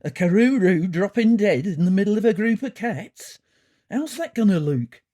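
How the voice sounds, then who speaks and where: A performer voicing a gruff, growling, bossy male cat in an audio storybook. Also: mid-range voice, expressive, normal speed, warm tone, voice pushed up, lightly acted. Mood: stern, urgent.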